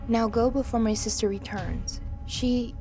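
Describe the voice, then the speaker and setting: A woman's voice: fairly high pitch, steady tone, measured pace, over music, lightly acted. A woman playing a young changeling princess in an audio drama, wistful and proudly stubborn, with formal, stately phrasing. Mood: firm, insistent.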